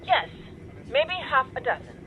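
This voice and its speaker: A woman's voice: high voice, big pitch swings, measured pace, sharp tone, full character voice. A woman playing an earnest, uneasy store hostage in an audio drama, speaking over a telephone. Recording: muffled phone line, faint background noise.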